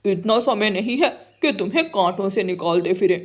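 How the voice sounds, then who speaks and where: A woman's voice: mid-range voice, expressive, a little fast, pitched below the performer's own voice, close to an everyday voice. A woman voicing a selfish, impatient male cartoon crow who talks in a curt, dismissive way.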